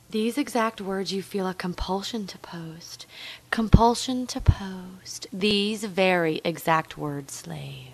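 A woman with a medium-pitched, breathy voice that often slips into a whisper. She speaks very slowly, drawing out her words. Her delivery is intimate and dominant, coaxing in repeated commands in the manner of adult hypnosis audio. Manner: slow, drawn-out, dominant and coaxing. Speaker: erotic hypnosis performer.

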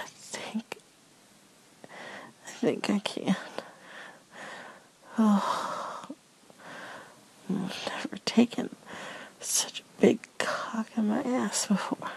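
Adult female for intimate erotic audio: breathy whisper with some fry, very unhurried, moans between phrases. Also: mid-range voice, darkish tone, poor audio. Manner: slow, drawn-out delivery broken by moans. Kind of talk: first-person erotic audio roleplay, intimate whispered narration.

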